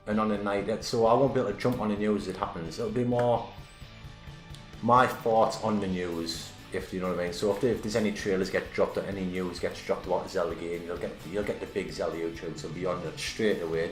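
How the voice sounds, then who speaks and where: A deep-voiced man hosts a casual channel update, talking in a loose, rambling way and often restarting his sentences. His voice sits low and carries a roomy echo. Faint music plays behind him. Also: fairly even intonation, brisk. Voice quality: self-described deep voice. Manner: rambling, self-correcting, enthusiastic. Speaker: YouTube channel host.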